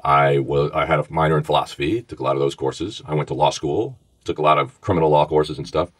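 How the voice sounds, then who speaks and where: A middle-aged man with a Bronx New York accent, telling his story in a casual, animated podcast conversation. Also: a bass voice, fast, slightly rough, dry recording. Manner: animated storyteller, acts out quoted voices. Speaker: former FBI agent, podcast co-host.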